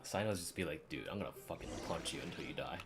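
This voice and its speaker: Low-voiced man casually reacting to a game. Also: animated, fast. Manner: informal, sweary. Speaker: game streamer. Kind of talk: casual live reaction commentary. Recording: game music clearly behind voice.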